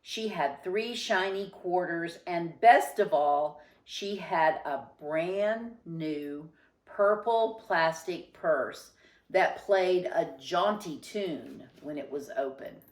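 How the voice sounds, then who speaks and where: A woman reading a children's story aloud with expressive, deliberate and emphatic phrasing. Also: fairly low pitch, recorded from a distance. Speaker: storybook reader. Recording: roomy, echoing sound.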